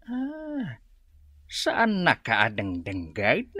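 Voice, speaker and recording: Raspy, slow old father. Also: mid-range voice, wildly swooping pitch, pushed and bright, no room sound, full character voice. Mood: concerned. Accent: Ilocano. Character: elderly father, concerned, querulous and slow-spoken. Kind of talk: Ilocano radio drama acting.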